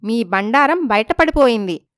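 A woman's voice: on the high side, expressive, measured pace, no echo, clean studio sound. A woman voicing a naive village wife in a moral story, wronged and indignant, pleading and questioning. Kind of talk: dramatized moral story dialogue.

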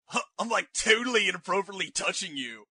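A man's voice: high-pitched, big pitch swings, fast talker, sharp tone, breathy edge, no echo, heavily acted. A man voicing a smug, laid-back young surfer-dude ninja with a slacker drawl in a cartoon parody dub. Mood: lazy smugness. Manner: slacker drawl full of filler words. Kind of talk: comedy anime parody dub.

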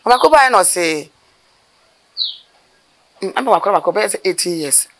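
A middle-aged woman with a Ghanaian accent, a mortuary worker telling her stories in an outdoor interview, animated and gesturing as she talks. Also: medium pitch, slight background noise. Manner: animated, gesturing with her hands, rapid bursts of speech. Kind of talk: storytelling interview. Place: outdoors by a building wall.

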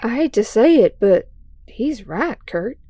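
A sympathetic, straight-talking young heroine, played by a woman in an audio drama. Her voice is fairly high yet mellow, heard a little off the mic. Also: sing-song, a little slow, far from the mic. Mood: sympathetic, reluctant. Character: frank, sympathetic young female mutant hero.

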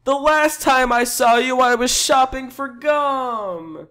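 A man acts out a skeptical, excitable customer in a comic roleplay. His voice is fairly high and swings widely in pitch. The delivery is blunt, incredulous and theatrical. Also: a little slow. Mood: melodramatic.

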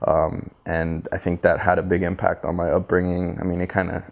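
A man, giving reflective interview answers in a halting, thoughtful way. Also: deep voice, monotone, creaky. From Costa Rica, later United States. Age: 20s. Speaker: interview guest, photographer and visual artist. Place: living room, indoors on a sofa. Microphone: clip-on lapel mic.

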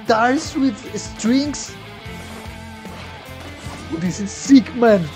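A man's voice: extremely high pitch, extremely slow, a little mellow. A young man giving casual, sweary reaction commentary at home as a musician, full of exclamations. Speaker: musician reacting to game trailers. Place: small room at home. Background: game music.

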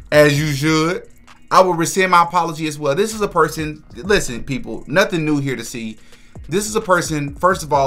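A man's voice: on the high side, bright, forceful tone. A young man hosting a reaction video in a small room, giving casual, opinionated commentary and repeating words for emphasis. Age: young adult. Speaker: host of a reaction channel. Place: small furnished room indoors.

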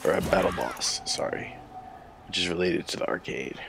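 A man commentating his gameplay while sick, sounding scratchy and rough and talking in a slow, low-key way. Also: over music.

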